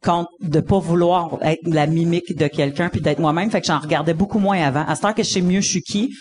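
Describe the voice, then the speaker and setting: A woman's voice: lowish voice, steady tone, fairly quick, darkish tone. A woman with a Quebec French accent, a comedian chatting casually and laughing often, with many restarts. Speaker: comedian guest. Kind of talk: casual podcast chat.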